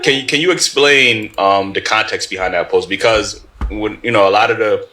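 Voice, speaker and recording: A man's voice: on the low side, moderate pace, background noise. A man hosting a discussion, casually putting questions to his guests. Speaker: host. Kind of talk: casual interviewing.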